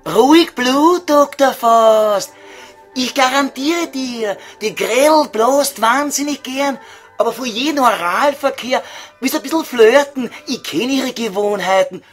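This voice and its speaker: A man's voice: very high voice, fairly bright tone, faint music behind. A man voicing a crude, scheming devil who taunts and coaxes in Viennese dialect in a comic radio play. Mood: sly coaxing. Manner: slow, sly, vulgar coaxing.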